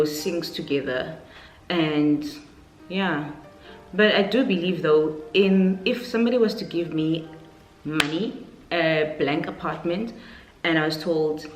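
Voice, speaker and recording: A South African woman aged twenty-nine chatting casually and informally in a question-and-answer vlog, thinking aloud as she goes. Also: low-pitched. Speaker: YouTuber, vlogger. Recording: roomy echo, faint music underneath.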